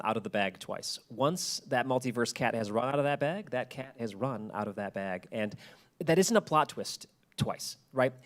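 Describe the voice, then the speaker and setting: Man presenting a talk, emphatic delivery. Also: mid-range voice. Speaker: speaker giving a presentation. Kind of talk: public talk to an audience.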